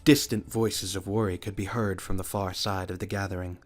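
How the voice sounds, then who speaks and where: Male narrator, lower register, scripted sci-fi audio play, music underneath. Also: level tone, moderate pace.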